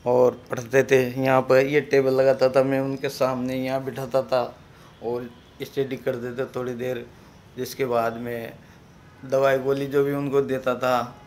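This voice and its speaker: A man's voice: medium pitch, level tone, unhurried, darkish tone, slight background noise. A middle-aged man, a longtime caretaker, explaining his daily duties in a simple, matter-of-fact way. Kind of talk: casual explaining. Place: bedroom of a house, indoors.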